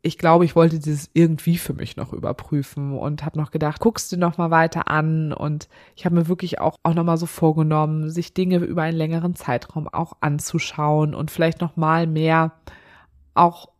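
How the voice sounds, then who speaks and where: Lady with a low voice, bit nasal from a stuffy nose, chatting openly on her podcast. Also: level tone, moderate pace. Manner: chatty, candid, laughs often. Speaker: podcast host. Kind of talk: casual solo podcast chat.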